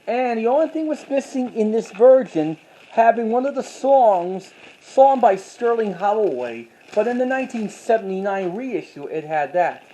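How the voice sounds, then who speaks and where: Casual collector-vlogger, medium-pitched voice, halting delivery that often repeats and corrects itself. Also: sing-song, dark tone, a little hiss or hum, rough recording. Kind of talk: casual show-and-tell commentary.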